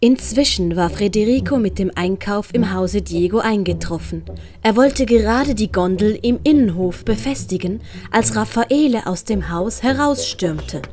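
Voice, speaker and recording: A woman with a Swiss German accent narrating a storybook in a gentle, measured way. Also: medium pitch, lively intonation. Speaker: narrator and author.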